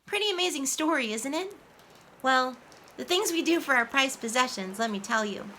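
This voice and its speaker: A woman plays a sweet, playful mermaid storyteller with a high, very bright voice. She addresses children directly in an animated, coaxing manner, as if sharing a storybook with friends, over faint background ambience. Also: normal speed, slight background noise. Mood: storytelling. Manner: animated, coaxing, asks listeners questions.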